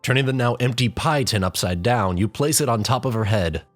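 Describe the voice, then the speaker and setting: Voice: mid-range voice, fairly quick. A narrator reading a comic story in the second person in a wry, exasperated way, with music behind. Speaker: fan fiction narrator.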